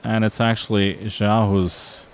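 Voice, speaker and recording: A man with a fairly low voice calls a poker match from a commentary booth. He breaks down each hand in an analytical way, with a dry sense of humor. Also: flat delivery, slow, smooth.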